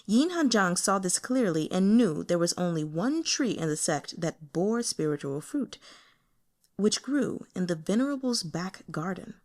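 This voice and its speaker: A woman's voice: on the low side, sing-song, measured pace, darkish tone. A woman reading fiction aloud as an audiobook narrator in a scripted storytelling style.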